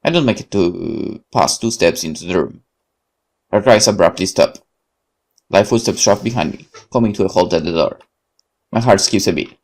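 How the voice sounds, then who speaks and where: A man's voice: low-pitched, animated, moderate pace, gravelly. A man reading horror fiction aloud in a theatrical, intense way, as a gaming host narrating a visual novel. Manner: theatrical, intense, occasional stumbles over words. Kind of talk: dramatic scripted horror narration.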